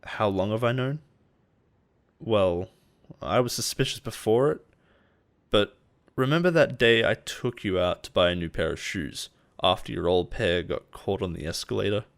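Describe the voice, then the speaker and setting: An actor playing a possessive male boss in an intimate roleplay audio drama, coaxing and quietly menacing. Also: on the low side, moderate pace, gravelly. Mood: controlling, quietly menacing. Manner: soft-spoken coaxing, chuckles and sighs, quietly menacing.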